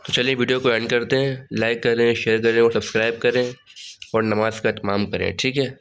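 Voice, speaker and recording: A hoarse man with a sore throat walking students through a study guide in a relaxed way, often saying "theek hai". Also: mid-range voice, a little fast, a little hiss or hum. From Pakistan. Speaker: online tutor. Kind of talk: casual study-guide walkthrough.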